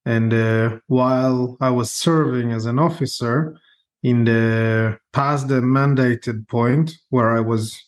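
Israeli-accented man, mid-pitched interview guest. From Israel, born in Ukraine. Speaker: podcast guest, real estate investor. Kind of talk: conversational interview storytelling.

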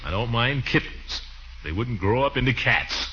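Brief: exasperated, self-important uncle for 1940s radio comedy, very lively pitch, dull old recording. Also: male voice, normal speed, a little hiss or hum. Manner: pompous, blustery. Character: fussy, exasperated middle-aged uncle, blustery and pompous.

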